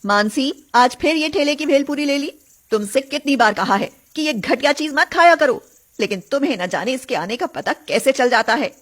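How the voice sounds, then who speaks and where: A woman's voice: high voice, expressive, moderate pace, voice pushed up. A woman voicing a snobbish middle-aged mother who nags and disapproves in a story. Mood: disapproving. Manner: nagging, disapproving.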